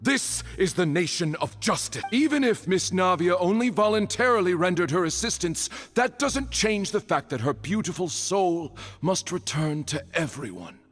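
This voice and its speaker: A man's voice: sits high, expressive, normal speed, few pauses, a bit bright. An actor playing a grandiloquent, insistent male accuser who speaks for a crowd with false courtesy in a dramatic video game. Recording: long echoing reverb under music.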